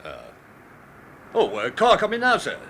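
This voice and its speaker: A deferential, colloquial railway porter in a radio drama. His voice is fairly high and very mobile in pitch, on a noisy recording. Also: wildly swooping pitch, a little slow, fairly bright tone, heavily acted. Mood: obliging. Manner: helpful, colloquial, grumbling.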